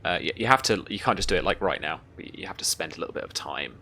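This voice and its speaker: A deep, fry-laden voice runs a tabletop roleplaying game as game master. The delivery is informal, moving between rules talk and vivid description. There is noticeable background noise. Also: lively intonation, quick pace, bright, forceful tone.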